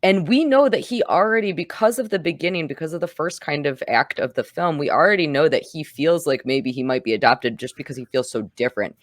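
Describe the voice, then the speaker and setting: A woman's voice: low-pitched, animated, fairly quick, hardly any pauses, dry recording, studio quality. A woman hosting a podcast, recapping and reviewing a movie in a conversational way. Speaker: podcast host and film reviewer.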